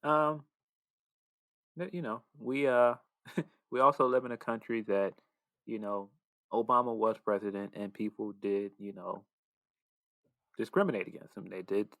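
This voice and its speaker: A man's voice: on the low side, unhurried, long pauses, fairly bright tone, no echo, low-quality recording. A man chatting casually as a podcast co-host, reflective and a little hesitant. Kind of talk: casual conversation.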